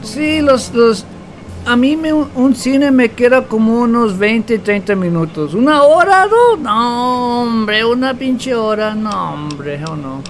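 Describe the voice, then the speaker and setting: A man's voice: very high voice, lively intonation, slow, faint music behind. A man from Texas, chatting casually on a game livestream in a slangy, joking way. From Texas, United States. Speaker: game streamer. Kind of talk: casual bilingual livestream chat.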